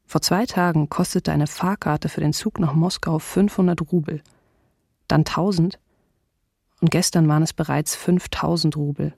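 An actress playing a shaken but sober city diarist in a radio docudrama, reading in an even, restrained, husky voice. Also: female voice, low voice, moderate pace, no room sound, clean studio sound, heavily acted. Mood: alarmed, restrained. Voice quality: husky, creaky.

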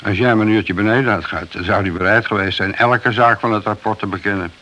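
A man's voice: on the low side, steady tone, fast, pushed and bright. A man playing a principled, long-serving police detective in a radio play, admonishing in a matter-of-fact way. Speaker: radio drama actor. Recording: hissy, noisy old radio recording.

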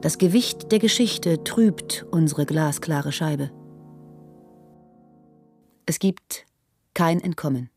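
An actress playing a brooding, oracular voice of sadness, solemn and deliberate, in a poetic radio drama. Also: female voice, deep voice, a little mellow, faint music behind. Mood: ominous, solemn.

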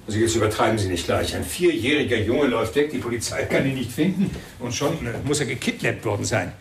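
An actor playing a brusque, defensive, well-off father in a radio crime drama, with a creaky voice and a tightly controlled manner. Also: medium pitch, lively intonation, fast, a little hiss or hum, close to an everyday voice. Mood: defensive, impatient. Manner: brusque, hesitant under pressure.

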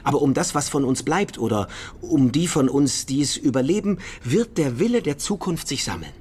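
An actor playing an intense young visionary in a radio drama, declaiming solemnly and prophetically. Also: male voice, mid-range voice, very animated, normal speed, darkish tone, husky. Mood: solemn, fervent. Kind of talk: German literary radio drama acting.

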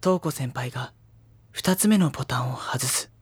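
A man playing a reserved, dry high-school boy who narrates softly and breathily in an audio drama. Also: normal speed, husky, dry recording. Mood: hushed, restrained. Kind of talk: first-person narration in anime audio drama.

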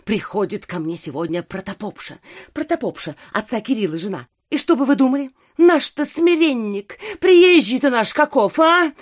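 Excitable high-voiced gossiping society lady. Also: moderate pace. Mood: eager, agitated. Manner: gushing, theatrical, exaggerated alarm. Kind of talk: audio drama acting of classic literature.

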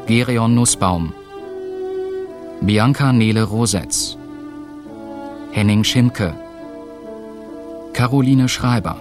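A man formally announcing the credits of a radio play, reading out items one by one with pauses. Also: on the low side. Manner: formal, listing names with pauses. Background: string music.

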